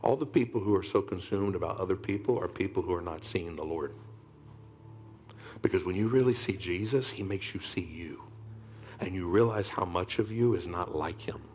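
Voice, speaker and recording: A man in his thirties preaching a sermon on a large church stage, swinging from loud calls to hushed whispers and calling on the crowd to answer back. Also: low voice, normal speed, a little hiss or hum. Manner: preaching with sudden whispered drops and loud rises. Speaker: pastor.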